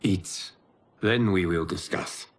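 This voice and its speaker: An actor playing a calm, caring mentor god in a video game, speaking in a measured, formal way. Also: male voice, low voice. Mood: solemn. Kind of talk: cinematic video game acting.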